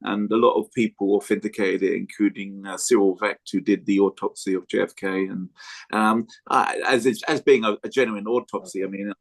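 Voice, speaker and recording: Low-voiced hesitant man from England. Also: steady tone, low-quality recording. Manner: hesitant, many ums and pauses. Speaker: guest speaker. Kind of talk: self-introduction on a video call. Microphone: video call.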